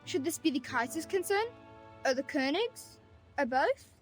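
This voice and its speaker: Young female street arcanist: high voice, talkative, theatrical, teasing game character over clear music. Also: animated, normal speed. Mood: breezy, sardonic. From Bosnia and Herzegovina. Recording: music behind.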